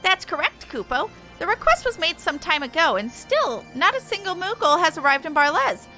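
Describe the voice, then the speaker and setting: A tiny, eager moogle creature with a very high, squeaky voice. The delivery is bright, earnest and exclamatory, like a cute game mascot, with music playing behind. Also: female voice, very animated, normal speed, exaggerated voice, low-quality recording. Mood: polite, earnest. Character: small eager excitable moogle apprentice creature.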